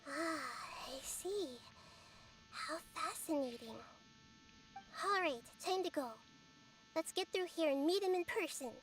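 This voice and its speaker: An actress playing a wise, calm young woman in a video game, speaking in a measured, inquisitive, articulate way. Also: very high voice, a little hiss or hum, heavily acted, low-quality recording. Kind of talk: scripted video game dialogue. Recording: light game music behind the voice.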